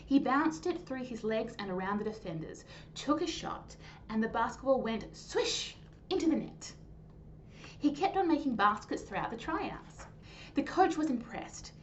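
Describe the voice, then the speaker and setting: Female children's storyteller, animated and high-pitched with very lively intonation, slightly dull recording. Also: moderate pace. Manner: animated, clearly phrased storytelling. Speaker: storytime host and reader. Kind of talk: expressive children's story reading. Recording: dull lo-fi sound, faint noise.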